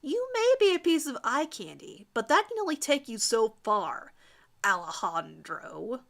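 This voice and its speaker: A woman's voice: fairly high pitch, very animated, measured pace, gravelly, fairly bright tone, exaggerated voice. A woman voicing a catty teenage mean girl who needles and challenges with venom in a story reading. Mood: challenging, venomous.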